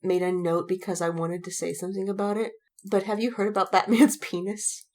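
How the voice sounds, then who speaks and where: A woman podcast co-host chatting casually and telling animated anecdotes, giggly and acting out reactions as she retells them. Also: medium pitch, fairly quick.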